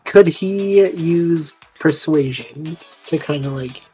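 A woman's voice: sits low, takes it slowly, warm tone, faint music behind, low-quality recording. A woman casually describing her character's actions as a player in a tabletop game, loose and conversational. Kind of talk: casual improvised play narration.

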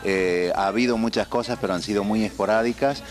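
A man in his fifties with an Argentine accent and a fairly low voice talks into a handheld mic on a studio couch. He speaks in a measured, earnest way and pauses often on "eh". Faint music plays behind. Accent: Argentine Spanish. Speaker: TV host and producer as guest. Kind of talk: casual interview. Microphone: handheld mic held by interviewer.

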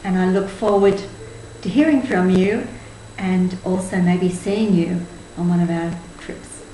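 A woman in her sixties giving a measured, earnest spiritual talk to camera. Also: on the low side, fairly even intonation, warm tone. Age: 60s. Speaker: spiritual group tour leader and presenter. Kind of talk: spoken presentation to camera. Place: indoors, small room with cloth backdrop. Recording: roomy echo, faint background noise.

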